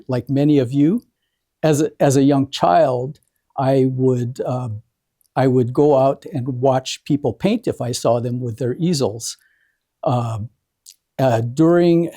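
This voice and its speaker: An older American man giving an informal speech as an award presenter, speaking haltingly and often restarting his words. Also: medium pitch, dark tone. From Hawaii, United States. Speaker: artist presenting an award ceremony. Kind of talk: informal prepared speech to an audience.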